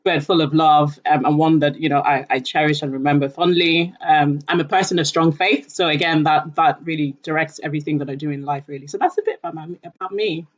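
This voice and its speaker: A woman's voice: low voice, steady tone, brisk, few pauses. A woman from Nigeria talking earnestly about her background and career in a podcast conversation, with frequent fillers. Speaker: environmental lead at a company. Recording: dull lo-fi audio.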